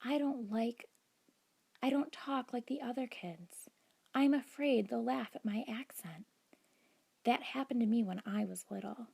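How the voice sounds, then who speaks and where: A woman voicing a shy, earnest schoolgirl in a children's storybook, soft and confiding, slipping into whispers. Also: a little high, measured pace, creaky, breathy edge, voice pushed up, full character voice. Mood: confiding, worried.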